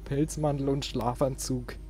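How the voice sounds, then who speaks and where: A man's voice: medium pitch, flat delivery, measured pace, dark tone. A man chatting informally as he hosts a let's play, thinking aloud while he plays. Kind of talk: casual gaming commentary.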